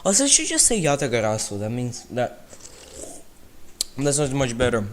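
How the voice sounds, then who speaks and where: A man's voice: mid-range voice, wildly swooping pitch, normal speed. Young man streaming, rambling casually. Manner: rambling, self-correcting, talks to chat while playing. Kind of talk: casual gaming livestream chat.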